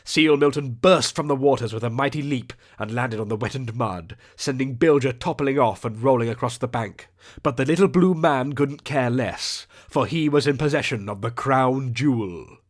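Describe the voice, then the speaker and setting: A man's voice: medium pitch, normal speed. A man narrating a scripted audiobook adventure in a theatrical, descriptive storytelling manner.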